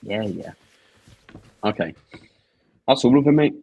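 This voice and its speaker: A man's voice: on the low side, lively intonation, a little slow, gravelly, dark tone, slight background noise. A man in his twenties co-hosting a podcast, teasing his co-host and reading out listener questions. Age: 20s. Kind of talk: casual chat.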